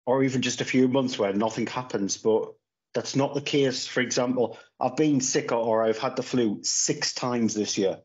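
Casual podcast co-host, man in his thirties, mid-pitched, rambling delivery, slightly dull phone audio. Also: normal speed, a little mellow, husky. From Northeast England. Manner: rambling, full of restarts and fillers. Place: small room at home, indoors. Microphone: phone camera on a video call.

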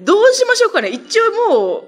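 Animated woman chatting over faint music. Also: very high voice, brisk. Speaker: guest actress on a talk show. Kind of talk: casual chat. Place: small indoor meeting room. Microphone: desk microphone.

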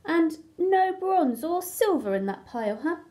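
A woman's voice: very high-pitched, big pitch swings, measured pace, dark tone, full character voice. A woman voicing an indignant, blunted gold crayon from a storybook, scolding with sarcastic questions. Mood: exasperated. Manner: exasperated, sarcastic rhetorical questions.

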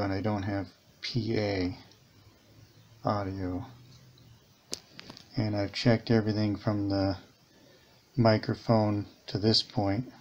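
Slow-talking, low-pitched man. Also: muffled recording, a little hiss or hum. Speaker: electronics repair hobbyist, host. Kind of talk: casual hands-on repair demonstration. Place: indoors at a workbench.

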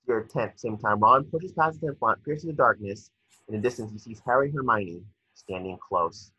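A man's voice: lowish voice, moderate pace, pushed and bright, slightly rough, dry recording. A man reading stage directions aloud as narrator at a table read, now and then stumbling over words. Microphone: video call. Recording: dull lo-fi video call audio.

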